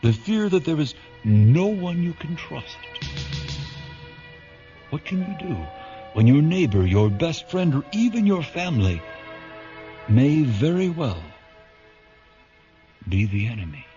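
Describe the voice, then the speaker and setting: A man narrating a science fiction radio drama as a grave announcer, deliberate and portentous with dramatic pauses. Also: a little high, very animated, dull audio, music in the background. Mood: grave, ominous.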